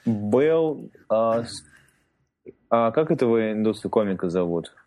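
Man, low voice, mellow tone, rambling casual chat, filler words. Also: animated, unhurried. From Russian-speaking immigrant in North America. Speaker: podcast co-host.

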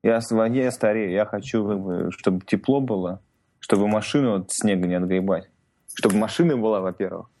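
Male co-host, low and mellow, loose rambling delivery. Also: fairly quick. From Russian-speaking immigrant in North America. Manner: rambling, heavy filler words. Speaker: podcast co-host. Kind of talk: casual chat.

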